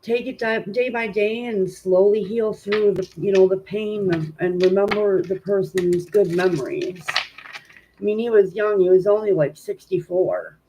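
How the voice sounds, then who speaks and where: A woman with a stuffy, congested nose hosting a tarot reading live stream at home, chatting informally with viewers and filling pauses with "um". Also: mid-range voice, background noise. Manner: informal and chatty, many "um" fillers, greets viewers. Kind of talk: casual live-stream chat and card reading.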